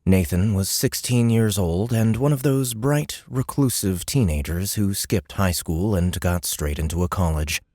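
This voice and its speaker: Deep-voiced male audiobook narrator. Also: unhurried, hardly any pauses, a little mellow, no room sound, studio quality. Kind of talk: scripted fiction narration.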